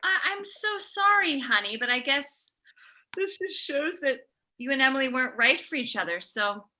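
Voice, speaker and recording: Woman acting a placating mother, middle-aged, bright, very expressive, comedy script reading. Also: high voice, normal speed, exaggerated voice. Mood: placating, exasperated. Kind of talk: comedy script table read.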